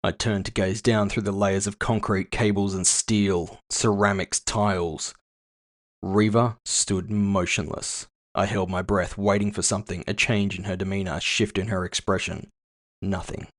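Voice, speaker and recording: A man's voice: on the low side, level tone, normal speed. A man narrating scripted first-person fiction as the author of the story.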